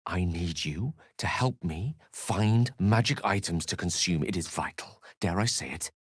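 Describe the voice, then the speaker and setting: An actor playing an eloquent, wry wizard in a video game, speaking in articulate, theatrical phrases with an earnest streak. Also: male voice, low-pitched, animated, unhurried, breathy edge, no room sound, studio quality, exaggerated voice. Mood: urgent, hushed. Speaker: video game companion character. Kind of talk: scripted video game voice acting.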